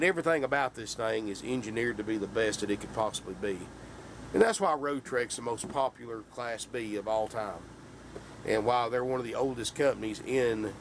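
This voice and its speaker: A man, hoarse from a sinus infection, giving a folksy sales pitch for a camper van and calling his viewers folks. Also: slight background noise. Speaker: RV dealer. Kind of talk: sales pitch walkthrough video.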